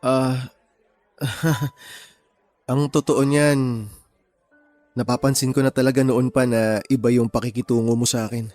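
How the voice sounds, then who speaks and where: An actor playing a brainy, introverted, considerate young man in a radio drama, speaking in a measured, gentle way. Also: medium pitch, level tone, soft background music, natural voice. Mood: awkward, apologetic.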